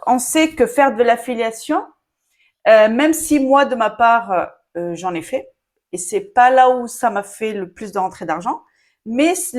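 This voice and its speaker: Woman, online business coach, casual chatty delivery, lots of fillers and asides, Arabic first language. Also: mid-range voice. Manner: chatty, frequent fillers, addresses viewers directly. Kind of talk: casual talking-to-camera advice.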